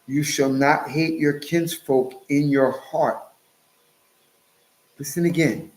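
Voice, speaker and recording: A man's voice: fairly high pitch, steady tone, slow pace, echoey room, rough recording. A man preaching and reading scripture in a lecturing, emphatic way, now and then jumping into mocking voices. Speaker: Hebrew Israelite teacher.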